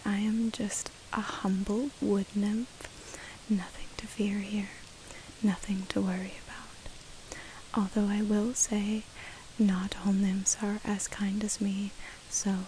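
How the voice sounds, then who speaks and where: A woman in her twenties playing a curious, kindly wood nymph in an ASMR roleplay, whispering softly and musing hesitantly close to the mic. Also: medium pitch, natural voice, poor audio. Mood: gentle, reassuring. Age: 20s. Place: outdoors in woodland. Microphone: close to the microphone. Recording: close whispered recording with hiss.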